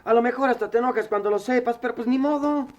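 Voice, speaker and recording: An actor playing a mischievous, good-hearted skinny young pal in a radio drama, colloquial and frank, with a Mexican accent. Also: male voice, very high-pitched, fast. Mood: earnest.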